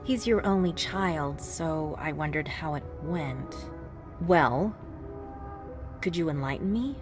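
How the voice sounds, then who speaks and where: Casting: a coolly deferential vampire in a game; fairly low register, pointed delivery over music. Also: female voice, expressive, takes it slowly. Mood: probing, pointed. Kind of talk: dramatic video game acting. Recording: music behind.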